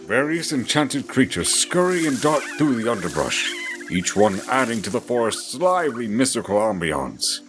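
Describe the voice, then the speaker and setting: Male narrator for fantasy audio drama, theatrical storytelling, very animated range, clear musical backing. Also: mid-range voice, normal speed, over music.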